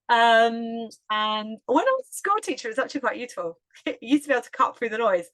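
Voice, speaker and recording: A middle-aged woman with a Hertfordshire English accent, hosting a podcast in a loud, chatty way and laughing often. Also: fairly high pitch, sing-song, fairly quick. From England. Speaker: podcast host and yoga teacher. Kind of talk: casual solo podcast talk.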